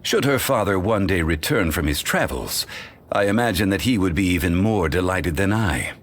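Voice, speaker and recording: An actor voicing an ancient, dignified male sage for a game, in formal, measured, unhurried speech. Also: deep voice, lively intonation, slight background noise. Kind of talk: studio game voice lines.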